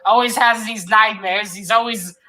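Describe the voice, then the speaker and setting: A woman's voice: medium pitch, fairly even intonation, normal speed, bright, forceful tone. A woman co-hosting a casual book discussion on a live stream, talking informally and jokingly. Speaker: co-host of a literary read-along stream.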